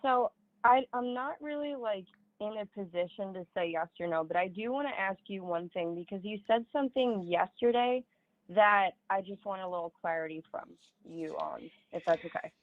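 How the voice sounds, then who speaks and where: A woman's voice: mid-range voice, expressive, normal speed. A woman in her twenties calling a livestream, politely asking for clarification. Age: 20s. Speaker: caller. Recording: dry tinny phone line.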